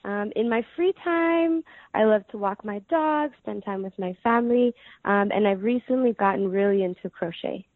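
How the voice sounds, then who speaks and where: A woman in her twenties answering interview questions casually, hesitant, with many pauses and fillers. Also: medium pitch, dry recording. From United States. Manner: hesitant, many ums, soft laughs. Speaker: guest. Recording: dry tinny phone-like line.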